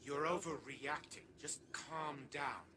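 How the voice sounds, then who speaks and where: A man's voice: a little high, takes it slowly, a little hiss or hum. A man playing an arrogant, manipulative old genius in a video game cutscene, speaking pompously and imperiously. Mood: condescending, scornful. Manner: imperious, self-justifying, pompous. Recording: loud ambient effects behind voice.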